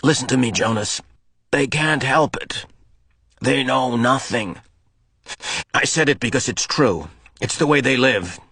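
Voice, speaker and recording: A man's voice: mid-range voice, slightly rough, dry recording, full character voice. A man reading a firm, weary old mentor. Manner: firm, measured, quietly rueful. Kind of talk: audiobook character voice.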